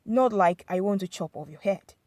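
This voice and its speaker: A woman's voice: mid-range voice, moderate pace, darkish tone, no echo, studio quality, lightly acted. A woman voicing an arrogant, coldly propositioning male company boss in a folktale narration.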